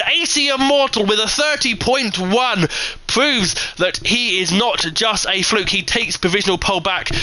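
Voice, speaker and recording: A man from the UK commentates a live esports race with a very bright, cutting voice sitting a little higher than most men's. He calls the action with energy, leaping up when the lead changes. Between calls he chats loosely with viewers, full of ums and asides. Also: no room sound, rough recording. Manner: energetic, jumps high in excitement at big moments; chatty, many fillers, reads and answers live chat. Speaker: sim racing commentator.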